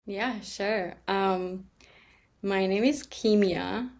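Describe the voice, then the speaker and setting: A woman's voice: medium pitch, darkish tone. A woman from Iran telling her life story as a podcast guest, hesitant and full of restarts. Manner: slow, hesitant, many ums and restarts.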